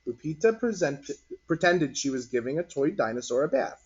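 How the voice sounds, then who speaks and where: A slightly dull, lo-fi recording of a man reading a picture book to children. His voice sits on the high side with a husky edge, and he tells the story expressively and deliberately. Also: big pitch swings, darkish tone. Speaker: read-aloud narrator.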